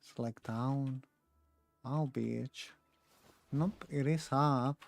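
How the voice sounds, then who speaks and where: A woman giving casual gameplay commentary as a streamer, with a creaky voice and music behind her. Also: a bass voice, steady tone, extremely slow, a little mellow.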